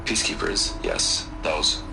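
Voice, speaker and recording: A man's voice: unhurried, noisy background, lightly acted. A man playing an evasive, defensive tech tycoon in a video game, speaking in a hesitant, clipped, placating way. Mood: reluctant, defensive. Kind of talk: dramatic game dialogue.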